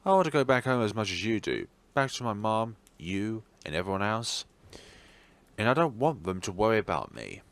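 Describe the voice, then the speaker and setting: A man's voice: lowish voice, moderate pace, dry recording. A man voicing an optimistic, caring teenage schoolboy in a visual novel, speaking earnestly. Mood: hurt, earnest. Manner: earnest, animated. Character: upbeat, caring teenage schoolboy, stubbornly optimistic best friend.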